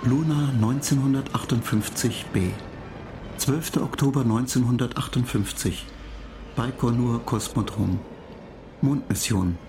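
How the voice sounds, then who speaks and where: A cool, condescending computer mind, played by a man in a radio drama. The voice sits at a medium pitch and recites in a measured, emotionless manner, with clearly audible music behind it. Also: lightly acted. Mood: detached. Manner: detached, measured, relentless recital. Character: cool, superior computer mind lecturing human space travellers. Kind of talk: German radio play, recited log of facts.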